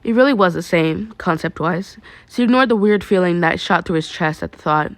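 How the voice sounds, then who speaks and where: A woman narrating fan fiction as an expressive story reader. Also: lowish voice, fairly quick, no echo.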